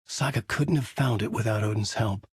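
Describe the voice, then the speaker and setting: A man's voice: fairly low pitch, normal speed, slightly rough, no room sound, clean studio sound. A man voicing a haunted male horror novelist, delivering grim noir-style inner monologue as game voice lines. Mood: brooding. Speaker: narrator.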